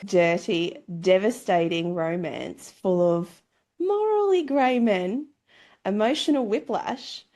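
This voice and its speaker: A woman's voice: medium pitch, creaky, a little mellow. A woman hosting a book club podcast in a cheeky, theatrical, gushing manner. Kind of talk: scripted, playful show introduction and chat.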